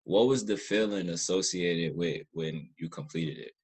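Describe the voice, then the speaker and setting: A man's voice: sits low, a little slow, darkish tone, breathy edge. A man hosting a casual interview, talking informally and searching for words as he shares his thoughts. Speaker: podcast host, interviewer.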